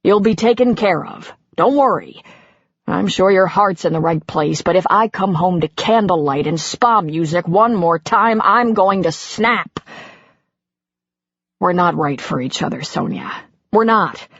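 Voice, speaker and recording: A woman's voice: fairly low pitch, lively intonation, unhurried, no room sound, lo-fi sound. A woman voicing an exasperated middle-aged husband who is walking out, bitter and swearing in heated outbursts. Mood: fed up.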